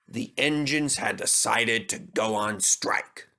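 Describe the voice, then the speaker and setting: A man's voice: on the low side, slow, bright, forceful tone. A man reading a scripted children's story as narrator. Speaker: storyteller, reader.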